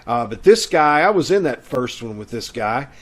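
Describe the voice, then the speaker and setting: A man's voice: mid-range voice, sing-song, moderate pace. Folksy man hosting a chat show. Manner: folksy, chatty. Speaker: host. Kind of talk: casual welcome and announcements on a video call show. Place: video call.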